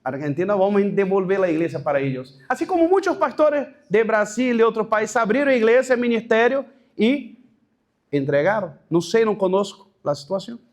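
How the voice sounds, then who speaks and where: High-voiced Brazilian-accented pastor telling his story. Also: animated. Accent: Brazilian Portuguese. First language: Portuguese. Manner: storytelling, halting with restarts and mixed-in Portuguese words. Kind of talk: testimony at a leadership workshop.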